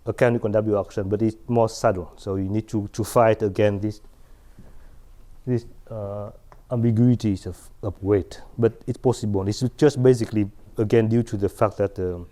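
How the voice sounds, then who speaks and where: Male math lecturer, middle-aged, mellow voice, halting delivery with restarts, lapel mic in a big lecture hall. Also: fairly low pitch, steady tone. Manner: halting, many restarts and fillers, asks "right?". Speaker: mathematics lecturer. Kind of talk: chalkboard lecture. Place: large lecture hall at a chalkboard.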